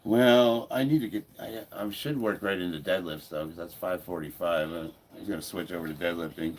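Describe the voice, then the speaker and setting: A man's voice: sits low, fairly quick. A man in his fifties with a stuffy nose, chatting informally as he hosts a training livestream. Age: 50s. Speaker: powerlifter and livestream host. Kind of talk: casual livestream chat while training. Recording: noisy room, ambient background sound.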